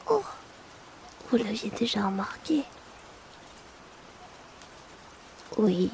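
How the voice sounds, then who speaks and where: A polite young-spirited potion delivery assistant played by a woman speaks in a crackly, often whispered ASMR roleplay voice. The manner is hesitant and confiding, chatty yet discreet. Rain ambience sits clearly behind the voice. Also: medium pitch, a little mellow, lightly acted. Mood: discreet, concerned.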